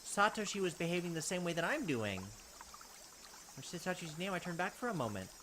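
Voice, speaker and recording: Brief: quick-talking man reading a visual novel and joking about it. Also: high voice, slight background noise. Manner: animated, wry asides between narration. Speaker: let's play host. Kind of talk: reading game text aloud and commenting. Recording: game audio with loud cricket ambience.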